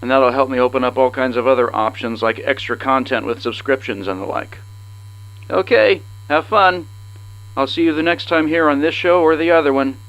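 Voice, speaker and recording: Male host, mid-pitched, informal chat to listeners, dull lo-fi sound with hum. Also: normal speed, a bit bright. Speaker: podcast host. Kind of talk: casual announcement to listeners.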